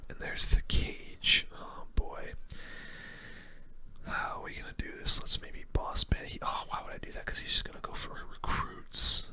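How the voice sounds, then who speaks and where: Someone whispering casual, laid-back game commentary in a soft, breathy ASMR style, close to the microphone. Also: moderate pace. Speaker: gaming video creator.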